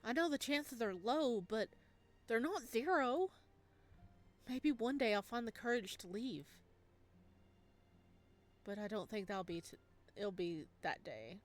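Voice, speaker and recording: A woman's voice: on the high side, expressive, unhurried, long pauses. Woman reading as a lonely, wistful young male ghost. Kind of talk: visual novel read aloud.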